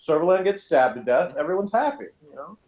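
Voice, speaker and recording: A man's voice: on the high side, lively intonation, brisk, a little mellow. A man casually reviewing in conversation, opinionated and sarcastic, prone to ranting. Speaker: podcast review host. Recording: roomy, echoing sound.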